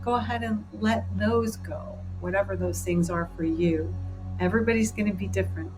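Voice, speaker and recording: A woman's voice: medium pitch, normal speed, poor audio. A woman leading a group through a guided meditation in an informal, encouraging, improvised way. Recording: clear background music under the voice. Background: music.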